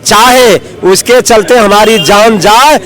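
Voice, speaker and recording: Slow, very high-pitched male activist. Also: crowd noise, low-quality recording. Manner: emphatic, halting short phrases. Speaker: campaign activist. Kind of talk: protest statement in a street interview.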